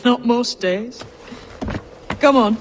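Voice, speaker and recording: An actress playing a tough young assassin disguised as a lad in a video game, with a blunt, combative, slangy manner. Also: female voice, on the high side, takes it slowly, background noise. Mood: focused, plotting. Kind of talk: video game cutscene acting. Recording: game audio with music behind.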